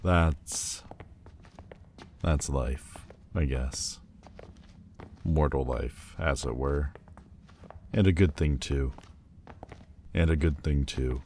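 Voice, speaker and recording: Fallen demon lord for audio roleplay, male actor: extremely low, slow, haughty and theatrical, wounded pride, hesitant pauses, some background ambience. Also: a little mellow, a little hiss or hum, heavily acted. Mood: wistful, self-mocking. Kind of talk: dramatic ASMR roleplay monologue.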